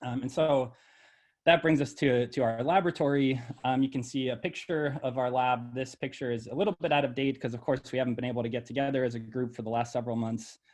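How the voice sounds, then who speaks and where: A man's voice: mid-range voice, steady tone, a little mellow. Middle-aged man presenting his research, with frequent ums. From born in El Salvador, raised in Ohio. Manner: measured, with frequent ums and uhs. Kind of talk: slide presentation.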